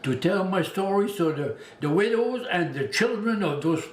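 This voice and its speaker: A man's voice: sits high, expressive, moderate pace. Elderly man in echoey room. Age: 80s. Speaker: navy veteran being interviewed. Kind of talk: informal personal recollection. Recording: echoey room with background noise.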